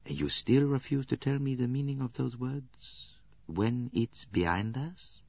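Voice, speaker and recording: A shrewd, fussy older Belgian detective speaks with precise, courteous phrasing, as a man puts him on. The voice is husky and swoops up and down. The audiobook sound is dry and a little dull. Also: on the low side, huge pitch swings, moderate pace, no room sound, exaggerated voice.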